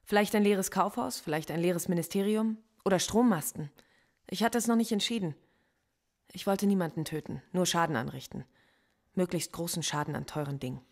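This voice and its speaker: An actress playing a philosophy professor under interrogation in a radio play, answering in short, cool, guarded replies. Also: female voice, on the low side, brisk, darkish tone. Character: composed female philosophy professor, stubborn and proud. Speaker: suspect in interrogation.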